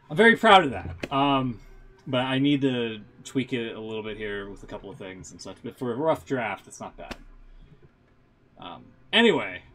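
A man hosting a live stream, chatting casually in rambling, halting asides. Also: fairly low pitch, a bit bright, slight background noise.

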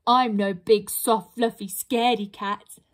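A woman putting on a slow boastful troll. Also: fairly even intonation, natural voice. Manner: slow, rumbling, ungrammatical speech. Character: huge boastful male troll, slow and greedy.

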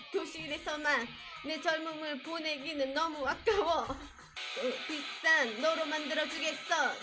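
Very high-voiced woman singing over music. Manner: sings ballads and raps with short spoken asides. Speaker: singer and streamer. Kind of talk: live-stream singing over backing tracks. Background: loud backing music.

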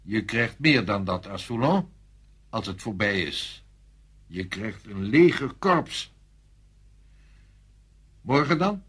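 Commanding, husky senior army general. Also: male voice, on the low side, very animated, a bit bright, exaggerated voice. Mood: insistent. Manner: authoritative, insistent, clipped and deliberate. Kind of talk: radio drama acting.

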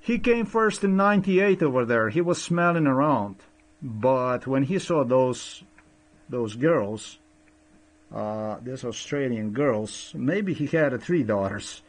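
A middle-aged man who learned English as a second language talks at his webcam in a small room. He stumbles over his words, repeats himself and fills gaps with "uh". His voice sits at an ordinary pitch for a man. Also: animated, slow, low-quality recording. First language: not English. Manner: hesitant, many fillers and restarts. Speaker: vlogger. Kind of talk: rambling screen-share commentary. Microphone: webcam at desk.

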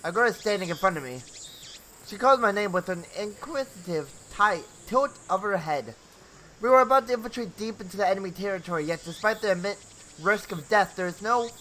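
Young man, high bright voice, theatrical game narration, casual asides, music behind, home bedroom recording. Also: a little hiss or hum, rough recording. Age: young adult. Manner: theatrical, stumbles over words. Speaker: gaming video host. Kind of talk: reading visual novel narration aloud with casual asides.

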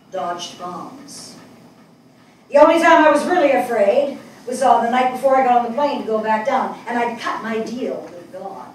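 A woman's voice: on the high side, a little mellow. An older woman on stage acting a reflective, wry middle-aged journalist from New York in a dramatic monologue. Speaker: stage actress in a dramatic reading. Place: indoor small theatre stage. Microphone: far camera. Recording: echoey theatre, distant mic, faint noise.

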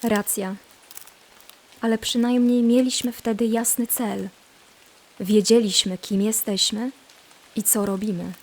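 An actress playing a devout, hopeful female draenei priestess in a fantasy audio drama, speaking calmly, softly and solemnly. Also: a little high, a little slow, long pauses. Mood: nostalgic. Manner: calm, soft, solemn.

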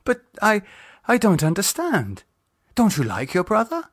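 A man's voice: high-pitched, huge pitch swings, measured pace, no echo, clean studio sound, voice pushed up, exaggerated voice. A man voicing a worried, caring woman in an audiobook, questioning earnestly with some reproach. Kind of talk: audiobook character dialogue.

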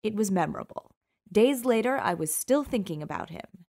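A woman's voice: medium pitch, lively intonation, normal speed, darkish tone. A woman narrating a first-person audiobook in a wry, sultry storytelling manner.

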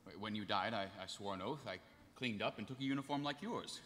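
A man playing an earnest, solemn, dutiful hero who speaks haltingly at a live table read of a sci-fi comedy. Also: lowish voice, fairly bright tone, slight background noise, natural voice. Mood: earnest, pleading. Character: earnest, clean-cut, dutiful male hero, lovelorn. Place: large convention hall panel stage. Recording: echoey convention hall PA.

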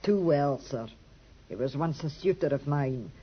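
Fretful middle-aged mother, deferential and heartfelt, slightly husky, dull vintage radio drama sound. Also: low voice, lively intonation, measured pace, heavily acted. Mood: bitter. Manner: earnest, deferential, emotional. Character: anxious, grateful, respectable mother of a grown son. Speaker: actress. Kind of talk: 1950s radio drama acting. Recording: muffled 1950s radio recording.

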